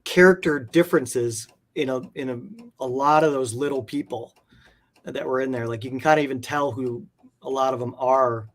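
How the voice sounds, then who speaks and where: Male interview guest, medium pitch, enthusiastic hobbyist collector, conversational and rambling, lots of "like". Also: normal speed. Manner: rambling, peppers talk with "like". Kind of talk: casual enthusiastic chat about comic art.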